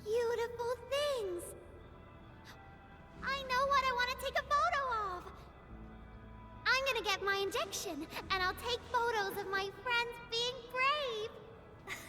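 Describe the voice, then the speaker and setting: A woman voicing an excitable, whiny, adoring little child in a video game, with an eager, pouty way of talking. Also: extremely high pitch, slow pace, long pauses. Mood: excited, delighted. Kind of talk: video game character acting. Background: music.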